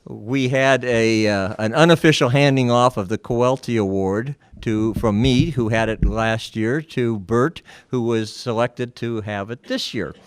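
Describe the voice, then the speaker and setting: Halting man presenting an award. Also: mid-range voice, smooth, dry recording. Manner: halting delivery. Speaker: club member presenting an award. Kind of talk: informal award presentation to a club.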